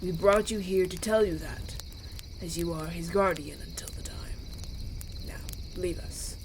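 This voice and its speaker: A woman's voice: fairly low pitch, unhurried, a little hiss or hum, low-quality recording. A woman playing a stern, unyielding, devout village elder in a fantasy audio roleplay, speaking formally and solemnly. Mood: solemn, unbending.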